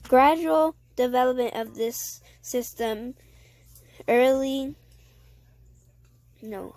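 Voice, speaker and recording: A woman hosting a casual weather update, reading forecast text aloud haltingly and sniffling with a cold. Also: fairly high pitch, darkish tone, no echo. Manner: halting reading with stumbles and self-corrections. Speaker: weather video host.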